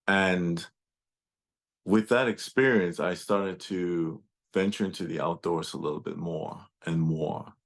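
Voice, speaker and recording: A man whose first language is German speaks over a video call in a very low, deep voice. He talks slowly and thoughtfully, pausing and restarting as he recalls his past. The delivery is reflective and unhurried. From Turks and Caicos Islands and Germany. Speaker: guest speaker. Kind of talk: personal storytelling.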